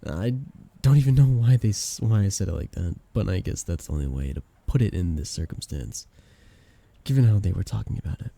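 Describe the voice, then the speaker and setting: A young man acting a flustered best friend with a hidden crush in an intimate ASMR romance roleplay, soft-spoken, casual and hesitant. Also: sits low, expressive, fairly quick, gravelly, heavily acted. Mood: bashful, confessing.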